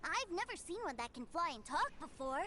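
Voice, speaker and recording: Very high-voiced earnest little boy. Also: sing-song, fairly quick, very noisy. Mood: proud, friendly. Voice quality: childlike, high. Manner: earnest, boastful, simple. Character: innocent, trusting, stubborn little boy who loves toys. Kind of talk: video game character dialogue. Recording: loud music behind the voice.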